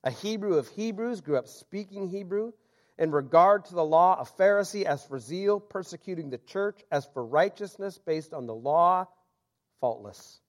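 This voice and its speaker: A man's voice: high voice, animated, normal speed. A man preaching a sermon, reading scripture aloud and explaining it with self-deprecating asides.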